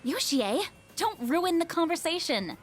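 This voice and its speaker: A woman's voice: extremely high pitch, big pitch swings, a little slow, faint music behind, exaggerated voice. An actress voicing an easygoing, teasing young working woman in a video game, with a friendly, coaxing manner. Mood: playful, coaxing. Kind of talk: English video game voice acting.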